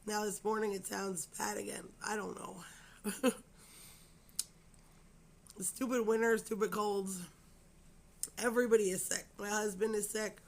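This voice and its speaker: A woman with a cold and a raspy, scratchy voice, hosting a craft channel in a rambling, chatty way and apologising often, full of fillers. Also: mid-range voice, fairly even intonation, unhurried, long pauses. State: hoarse from a cold. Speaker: crochet channel host. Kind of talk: casual chat to camera.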